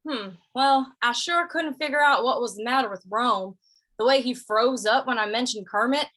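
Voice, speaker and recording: A woman's voice: fairly high pitch, moderate pace, fairly bright tone. A woman playing an amiable, curious cowboy in an old-time western radio drama, with a mild, hesitant, folksy way of talking. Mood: musing.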